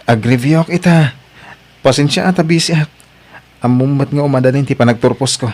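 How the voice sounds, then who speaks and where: A man's voice: medium pitch, steady tone, a little fast, a little mellow, close to an everyday voice. A man acting an earnest, dutiful young husband and student in an audio drama, with a pleading way of talking. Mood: apologetic.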